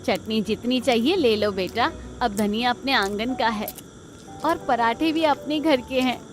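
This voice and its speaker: A woman's voice: on the high side, a little slow, slight background noise, lightly acted. A woman voicing a kindly, hardworking middle-aged mother-in-law in a cartoon, reassuring and plain-spoken. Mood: content. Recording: background music under the voice.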